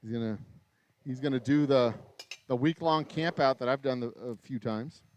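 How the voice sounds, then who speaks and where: A man in his forties with an American accent, hosting a casual livestream from his small distillery while he works, his voice a little raspy after hours of talking. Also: mid-range voice, normal speed, a little mellow, a little hiss or hum. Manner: rambling, self-interrupting, mild swearing. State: voice getting raspy from long talking. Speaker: distillery owner and livestream host. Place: small craft distillery shop.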